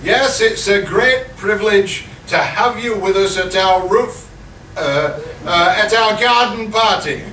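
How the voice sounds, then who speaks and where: A man's voice: high-pitched, takes it slowly, pushed and bright, full character voice. A man playing an earnest, obsequious church committee member in an amateur radio comedy, formal and faltering. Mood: eager, earnest. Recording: noisy, echoey live room, distant mic.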